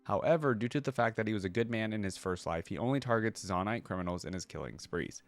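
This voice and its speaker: A man's voice: fairly low pitch, animated, quick pace, faint music behind. A man hosting a reaction video, thinking aloud in casual, conversational commentary and sometimes reading from web pages. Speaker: reaction video host and streamer.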